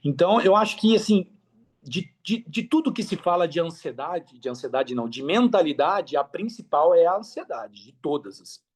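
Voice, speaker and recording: Higher-pitched Brazilian man, emphatic and slangy. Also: moderate pace, breathy edge. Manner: emphatic, repeats phrases, slangy, animated storytelling with acted-out quotes. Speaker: podcast guest, affiliate marketer. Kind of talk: casual podcast conversation.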